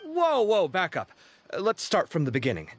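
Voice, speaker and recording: Expressive young man, lively intonation. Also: sits high, normal speed, slight background noise. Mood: puzzled, grumbling. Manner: animated, conversational, dramatic. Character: expressive, chatty young man, fretful and curious. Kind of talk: video game voice acting. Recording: long reverb, game music behind.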